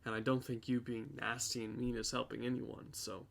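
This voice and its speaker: A man acting in an audio drama as a guilty young boyfriend, halting and defensive as he pleads. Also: mid-range voice, level tone, gravelly, lightly acted.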